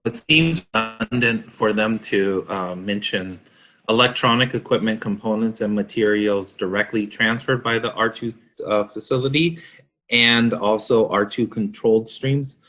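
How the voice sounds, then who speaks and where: A man explaining technical standards in a panel discussion as a consultant, in a halting, self-correcting way. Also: fairly low pitch, fairly bright tone.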